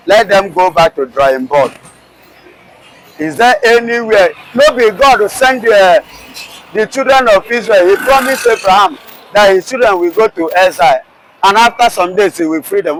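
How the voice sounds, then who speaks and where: A man's voice: sits high, expressive, moderate pace, rough recording. A man with a Nigerian accent, arguing passionately in a street interview with many rhetorical questions and restarts.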